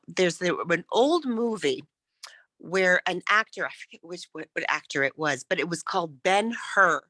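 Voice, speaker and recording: A woman's voice: medium pitch, pushed and bright, no room sound. A woman coaching public speaking in an interactive lesson, encouraging and emphatic as she demonstrates.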